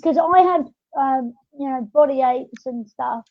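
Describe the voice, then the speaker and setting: Female podcast host, high voice, hesitant conversational delivery, dry but dull lo-fi sound. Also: measured pace. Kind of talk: casual podcast chat. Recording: dull lo-fi audio.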